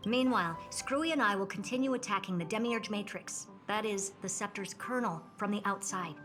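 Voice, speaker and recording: A woman's voice: mid-range voice, a little fast, fairly bright tone, faint music behind, lightly acted. An actress playing a confident woman genius in a video game, setting out plans in an assured, instructive way. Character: confident, brisk woman genius leading the plan. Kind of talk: game character acting.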